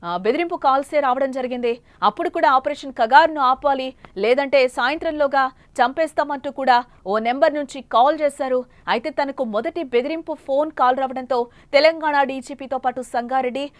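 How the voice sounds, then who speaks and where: A woman news anchor with a high voice delivers breaking news. Her delivery is emphatic and repetitive, partly off the cuff. The sound is close and dry. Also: no room sound.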